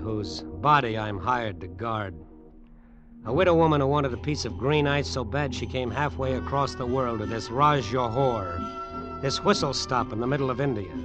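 An actor playing a tough, cynical private detective, wry and clipped, in an old radio drama. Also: male voice, medium pitch, lively intonation, unhurried, natural voice. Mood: cynical narrating. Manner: wry, clipped, hard-boiled. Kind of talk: 1940s-50s radio acting, first-person narration and dialogue. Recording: vintage radio drama with music.

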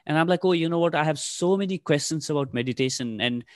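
A man's voice: a little high, fast talker, dry recording, studio quality. A man in his thirties from Nepal, whose first language is Nepali, answering interview questions casually with many fillers and restarts. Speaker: podcast host, guest here.

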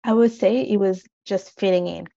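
A woman from Italy talking as a podcast guest, reflective and halting, with many fillers. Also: mid-range voice, gravelly, dark tone, no echo. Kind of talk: casual interview talk. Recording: dull lo-fi audio.

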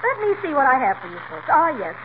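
A woman's voice: high-pitched, huge pitch swings, fast talker. A woman playing a polite, literal-minded young mail girl, prim and courteous, in a 1940s radio comedy. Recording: noisy, tinny 1940s radio recording.

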